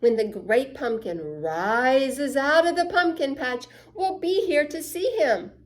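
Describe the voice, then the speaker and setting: A woman's voice: high-pitched, lively intonation, takes it slowly, pitched above the performer's own voice. Woman voicing an earnest, wide-eyed little storybook boy. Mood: reassuring. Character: earnest, hopeful little boy who believes devoutly.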